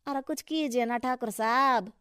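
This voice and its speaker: A woman voicing a deferential, flattering townsperson in a cartoon story, with an effusive, fawning manner. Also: fairly high pitch, normal speed, clean tone, no echo, studio quality, close to an everyday voice. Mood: pleading. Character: deferential, flattering townsfolk seeking the politician's favour. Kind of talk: scripted cartoon story dialogue.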